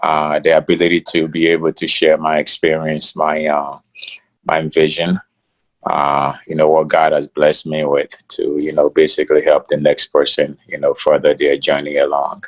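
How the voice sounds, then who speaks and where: A middle-aged man from Ghana, a guest in an interview, talking in a relaxed way with many fillers. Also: very deep voice, normal speed. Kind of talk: casual interview talk.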